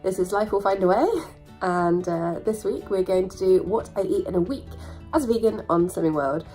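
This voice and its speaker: A young woman talks to her viewers at a mid-range pitch, her voice croaky from a sore throat and thick with congestion. She rambles chattily, filling pauses with ums as she works through her numbers out loud. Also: faint music behind, rough recording. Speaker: vlogger. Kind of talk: casual chat to camera.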